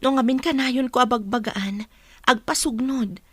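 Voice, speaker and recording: A woman's voice: fairly high pitch, normal speed, fairly bright tone, husky, no room sound. A woman with an Ilocano accent playing a worried, caring mother and housewife in a radio comedy drama, speaking earnestly.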